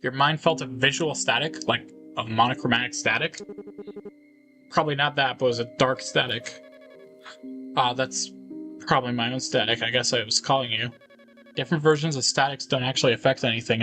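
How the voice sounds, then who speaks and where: A man's voice: mid-range voice, pushed and bright. A man reading visual novel dialogue aloud in character, as a gaming video host. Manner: acts out characters' lines while reading.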